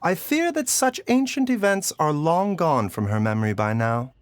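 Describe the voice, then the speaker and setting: A man voicing a calm, courteous physician who speaks in a measured, explanatory way, in video game dialogue. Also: fairly high pitch, clean tone, no room sound. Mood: composed.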